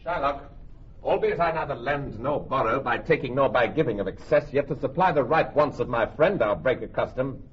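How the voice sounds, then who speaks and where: A man's voice: mid-range voice, a little fast, slight background noise, close to an everyday voice. A man playing a proud, formal, grave merchant in classical Shakespearean radio drama. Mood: stiff, formal. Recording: dull, muffled radio recording.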